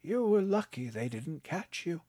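A man narrating a story, voicing a calm, frail old grandfather who speaks slowly and gently. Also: on the high side, no echo, pitched above the performer's own voice. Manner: slow, gentle, unbothered.

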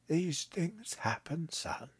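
A man narrating a story, voicing a calm, frail old grandfather who speaks slowly and gently. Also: fairly high pitch, slightly rough, no room sound, voice pushed up, heavily acted. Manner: slow, gentle, unbothered.

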